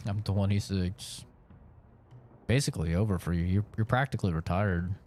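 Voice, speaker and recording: A man's voice: low voice, normal speed, a little mellow, a little hiss or hum. A man in his late twenties chatting casually while he streams a game, rambling frankly with lots of fillers. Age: late 20s. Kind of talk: casual chat while gaming.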